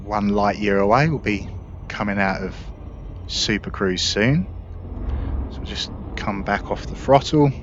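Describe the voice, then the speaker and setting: Casting: male gaming creator, lowish pitch, conversational explainer style, slightly dull mic with faint background noise. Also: unhurried. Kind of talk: casual gameplay commentary.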